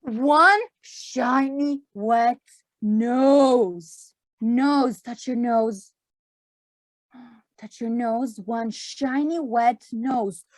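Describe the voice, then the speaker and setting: A woman's voice: a little high, drawn-out words, dry recording. A woman telling a story to a class of children in a theatrical, exaggerated way, repeating phrases as a teacher does. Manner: gasps for dramatic effect.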